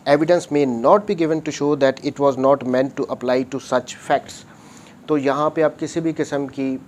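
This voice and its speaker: A man's voice: mid-range voice, a little mellow. A man lecturing methodically, reading out formal text and explaining it with repetition, mixing two languages. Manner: methodical, repetitive, switches between Urdu and English. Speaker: law lecturer. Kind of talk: explanatory lecture, reading out and paraphrasing legal articles.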